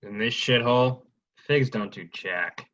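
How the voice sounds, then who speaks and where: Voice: medium pitch, unhurried, fairly bright tone, highs cut off, close to an everyday voice. An actor playing a cheeky, crude drinking buddy who teases sardonically in a comic play reading. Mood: crude, teasing. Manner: sardonic, teasing.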